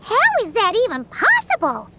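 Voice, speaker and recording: An actress playing a tiny, excitable fairy companion in a video game, with a squeaky childlike voice and a blunt, exclamatory manner. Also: female voice, normal speed, heavy background noise, heavily acted. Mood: confused, surprised. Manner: exclamatory, refers to self in third person. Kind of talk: video game cutscene acting.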